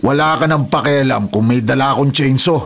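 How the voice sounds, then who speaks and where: A man's voice: medium pitch, a little fast, faint music behind, close to an everyday voice. A man playing a hot-tempered, threatening illegal logger in a Filipino radio drama, with a Filipino accent and a confrontational manner. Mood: defiant. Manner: menacing, confrontational.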